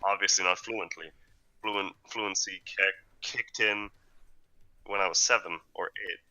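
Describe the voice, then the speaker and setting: A teenage boy from Slovenia with a Slovene accent and a deep voice, rambling through a casual, jokey podcast chat. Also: fairly even intonation, a little slow, sharp tone, poor audio. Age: teens. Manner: rambling, jokey, says "like" and "dude" a lot. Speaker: podcast co-host.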